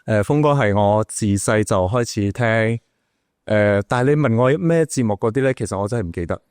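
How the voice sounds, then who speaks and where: A man's voice: lowish voice, brisk, a little mellow, smooth, no echo, studio quality. A man with a Malaysian accent hosting a casual, chatty interview. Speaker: podcast host, interviewer.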